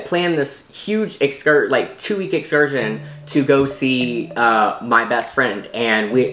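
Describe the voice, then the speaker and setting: A man's voice: mid-range voice, fairly bright tone. A young American man talking casually to camera as a travel vlogger, rambling with lots of restarts and fillers. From United States. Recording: roomy echo with faint music.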